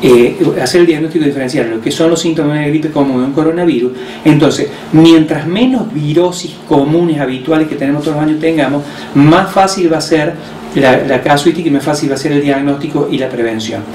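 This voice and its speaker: A man with an Argentine accent, a hospital director who explains health measures on the radio in a measured way, with frequent hesitations. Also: on the high side, darkish tone. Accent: Argentine Spanish. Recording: roomy distant mic with faint noise.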